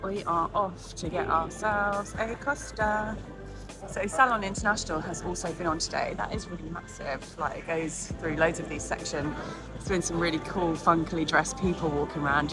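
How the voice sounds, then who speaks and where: A woman from England narrating her trip to a trade show, chatty and upbeat, a bit bunged up. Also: fairly low pitch. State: stuffy, bunged up. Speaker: vlogger and nail educator. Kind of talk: casual vlog narration.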